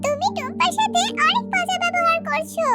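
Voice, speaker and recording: Very high-pitched little cartoon girl. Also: a little fast. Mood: insistent, pleading. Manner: pleading, childlike. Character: little village girl, earnest and emotional. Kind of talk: children's cartoon acting. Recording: music behind the voice.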